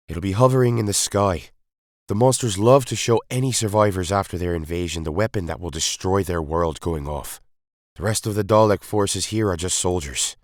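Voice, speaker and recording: A man's voice: sits low, no room sound, clean studio sound, voice pushed up, lightly acted. A man voicing a grave, urgent Time Lord hero. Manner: emphatic, commanding.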